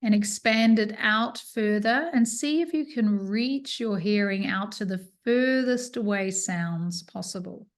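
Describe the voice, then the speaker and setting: A woman teaching yoga nidra, leading a guided relaxation with soothing, unhurried instructions and pauses. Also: medium pitch.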